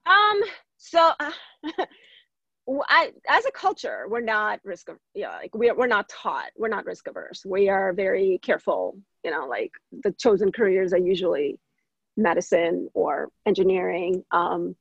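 A woman from India in her thirties talks casually as an interview guest, lively and quick to laugh. Her voice has a gravelly, husky edge, and the recording is dry and a little dull. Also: mid-range voice, dry recording. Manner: animated, laughs often, many fillers.

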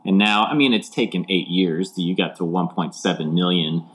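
Deep-voiced casual male host. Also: moderate pace, a bit bright, rough recording. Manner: friendly, loose phrasing with frequent "like". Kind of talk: interview questions, casual.

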